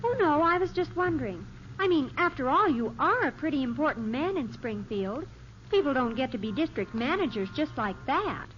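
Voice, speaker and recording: Gushing American teen daughter, very high voice, bouncy intonation, vintage radio comedy. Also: female voice, big pitch swings, moderate pace, a bit bright. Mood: earnest. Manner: breezy, earnest, gushing. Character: earnest, chattering, wheedling teenage daughter. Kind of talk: 1950s radio sitcom acting. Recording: dull, hissy old radio recording.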